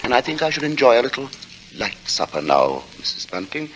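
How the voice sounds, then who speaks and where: An actor in 1940s radio style playing a weary, pious, eccentric gentleman lodger, formal and hesitant, with a sinister edge. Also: medium pitch, brisk. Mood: pious, measured. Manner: formal, hesitant, fussily precise, abruptly sharp. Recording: noisy old radio recording, steady hiss.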